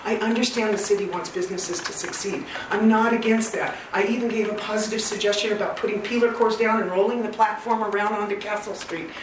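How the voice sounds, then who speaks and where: Woman, loud voice, earnest public commenter, echoey hall, distant mic. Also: mid-range voice, steady tone, brisk, hardly any pauses, noisy background. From Northern California. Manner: earnest, detailed, persistent.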